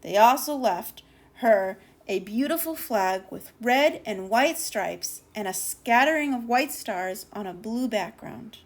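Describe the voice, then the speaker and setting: A woman with a fairly high voice reads a children's picture book aloud. Her delivery is expressive and deliberate, leaning on key words for drama, and she occasionally trips over a word.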